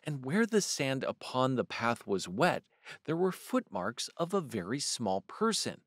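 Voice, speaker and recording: A man reading a children's picture book aloud with expressive, varied storybook delivery. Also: medium pitch, a little slow, no room sound, clean studio sound.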